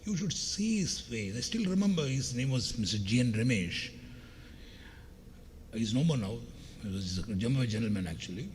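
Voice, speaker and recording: A man's voice: mid-range voice, expressive, moderate pace, a little mellow. A middle-aged man with a scratchy voice, giving a chatty, joking motivational keynote to an audience. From India. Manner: chatty, anecdotal and joking, addressing the audience directly. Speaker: keynote speaker. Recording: long hall reverb with faint background noise.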